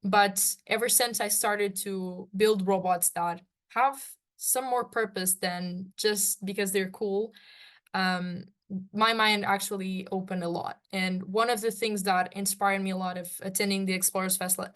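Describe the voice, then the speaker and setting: A woman's voice: mid-range voice, fairly even intonation, a little slow, fairly bright tone. A young woman answering on a panel as an awardee, speaking hesitantly with many fillers. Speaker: challenge awardee.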